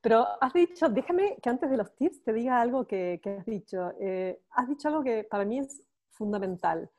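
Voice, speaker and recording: A woman's voice: on the high side, lively intonation, moderate pace. A woman in her forties with a Buenos Aires accent, a podcast guest speaking enthusiastically about her work and family. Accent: Buenos Aires Spanish. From Buenos Aires, Argentina. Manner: warm, enthusiastic storytelling with frequent restarts. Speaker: guest, cosmetics expert. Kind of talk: podcast interview.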